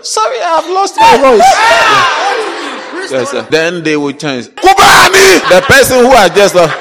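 A man preaching an animated sermon, quizzing the congregation and breaking into shouts and laughter. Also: extremely high pitch, measured pace, a loud crowd, heavy background noise. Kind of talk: lively church sermon. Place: church. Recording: reverberant church hall with congregation.

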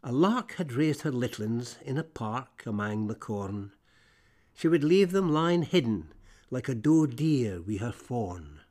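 Animated Scottish storyteller reading verse. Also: male voice, mid-range voice, unhurried. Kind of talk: expressive reading of rhyming fables in Scots.

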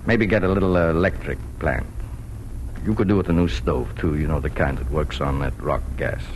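An actor playing a gentle, weary farmer father, thoughtful and plain-spoken, in 1940s radio style. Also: very deep voice, fairly quick. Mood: weary, reflective. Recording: noisy old radio broadcast recording.

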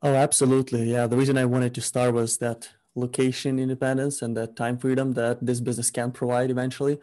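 A young man from Ukraine, a guest on a podcast, telling his story in a relaxed, upbeat way. His voice is mellow and warm, sitting in an ordinary middle pitch. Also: fast talker. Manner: relaxed, upbeat, laughs easily. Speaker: guest, e-commerce entrepreneur. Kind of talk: casual interview chat.

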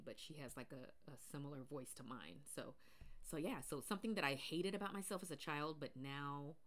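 Deep low voice woman from the pacific northwest, chatty solo podcast, lots of ums and restarts. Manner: rambling, many fillers and restarts. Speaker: podcast host.

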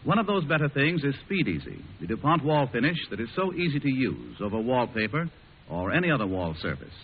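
A man's voice: medium pitch, wildly swooping pitch, a little fast, a little hiss or hum. A man working as a radio announcer, reading a scripted 1940s introduction and advertisement. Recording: tinny, band-limited 1940s radio recording.

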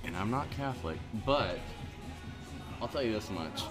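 A man's voice: lowish voice, lively intonation, moderate pace, music in the background. A man in his thirties from Central California, a podcast guest talking casually and rambling from one point to the next. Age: 30s. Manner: rambling, discursive. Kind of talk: casual chat. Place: bar.

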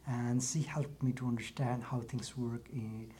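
A man from Nepal, a university lecturer answering interview questions in a soft-spoken, hesitant way with restarts. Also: mid-range voice, steady tone, a little slow, slight background noise.

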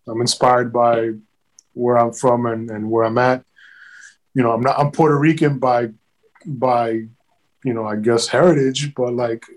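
A man's voice: mid-range voice, a little mellow, low-quality recording. A man, a guest artist talking bluntly and swearing freely in a casual podcast chat. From South Bronx, New York.

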